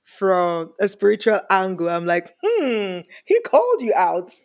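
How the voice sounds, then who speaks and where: A woman hosting a podcast in an upbeat, bubbly way with excited exclamations. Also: mid-range voice, measured pace, dry recording, studio quality. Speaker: podcast host. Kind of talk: podcast interview. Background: music.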